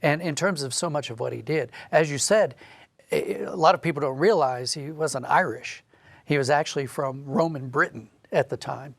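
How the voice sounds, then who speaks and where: Higher-voiced man, informal interview guest. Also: moderate pace, creaky, no echo. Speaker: interview guest, audio drama writer. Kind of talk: conversational interview, explaining.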